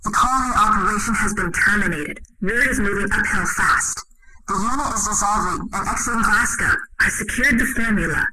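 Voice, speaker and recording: Very noisy radio transmission, female spy, mid pitch, clipped and urgent game delivery. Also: fairly quick. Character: terse female secret agent reporting in danger.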